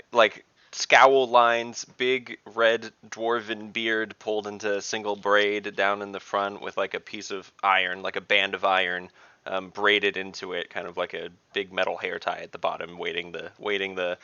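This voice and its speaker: A man's voice: low voice, sharp tone, clean tone, dry recording. A man casually describing a character as a player in a tabletop game on a video call. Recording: slightly dull video-call audio.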